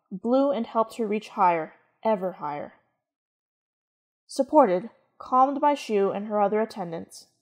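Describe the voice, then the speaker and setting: Female narrator, mid-pitched, for scripted dramatic storytelling.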